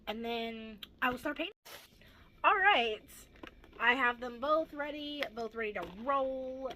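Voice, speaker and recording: A teenage girl with a hoarse, gravelly voice, chatting casually and rambling through a crafting vlog with self-deprecating asides. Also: a little high, noisy background. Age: teens. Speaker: craft vlogger.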